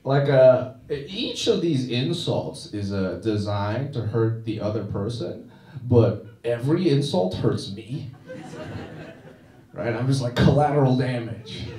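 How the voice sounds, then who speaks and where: A man's voice: mid-range voice, warm tone. Guy doing stand-up in a club, dry self-mocking style, lots of uh's, sounds far from the mic in a roomy space. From China. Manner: dry, self-deprecating delivery with frequent "uh" restarts. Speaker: stand-up comedian. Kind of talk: stand-up comedy set. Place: comedy club stage.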